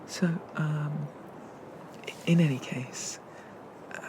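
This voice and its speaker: A performer playing a friendly, bashful monster barista in a soft, hesitant, gently chatty whispered ASMR roleplay. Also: mid-range voice, steady tone, takes it slowly, a little hiss or hum, lightly acted, poor audio. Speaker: coffee shop barista.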